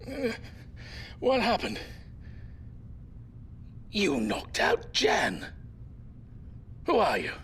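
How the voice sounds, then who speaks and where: Lively, creaky old grandfather. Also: sits high, very slow, pauses often, slight background noise, full character voice. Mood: confused, urgent. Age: older. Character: wry, plucky old grandfather keen on fishing. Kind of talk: audio drama acting.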